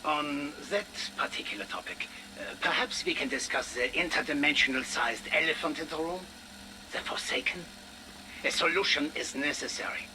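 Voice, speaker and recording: An actor playing a wry, brainy, self-satisfied colleague in a game audio drama, with a wordy way of talking. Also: male voice, a little high, moderate pace, natural voice. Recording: noisy ambience behind voice.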